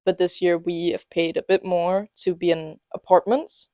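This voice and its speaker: Late-teen male podcast co-host, teasing and jokey, mellow tone, dry close sound. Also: high voice, level tone, normal speed, dry recording. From England and Denmark. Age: late teens. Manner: joking, teasing, lots of fillers. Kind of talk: casual chat. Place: student apartment.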